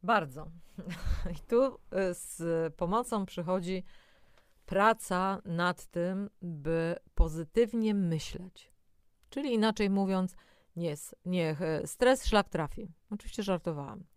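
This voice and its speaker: Female co-presenter for health audio: clean, resonant, slightly low voice, scripted yet chatty explanations. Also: slow, clean tone, no room sound, studio quality. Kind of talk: scripted educational narration with conversational asides.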